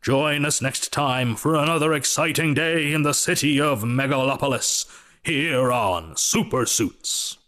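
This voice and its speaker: A man's voice: medium pitch, measured pace, pushed and bright. A man playing a grand, wry narrator, delivering scripted narration in the style of a superhero radio serial.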